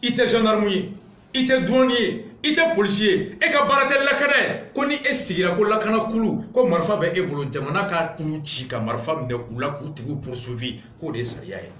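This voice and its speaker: A man's voice: high voice, lively intonation, a little fast, bright, forceful tone, echoey room. A man with a Malian accent delivering an impassioned political monologue, emphatic and indignant, hammering points through repetition. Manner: emphatic, indignant, repetitive rhetorical build-ups. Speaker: political commentator. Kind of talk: impassioned political monologue mixed with reading aloud.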